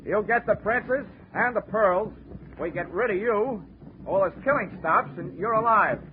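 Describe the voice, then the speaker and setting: A man's voice: sits high, normal speed, slight background noise, natural voice. An actor playing a wry, wisecracking insurance investigator who narrates his own case in a 1940s radio drama. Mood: bargaining. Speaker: narrator and lead detective. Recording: tinny 1940s radio broadcast with music.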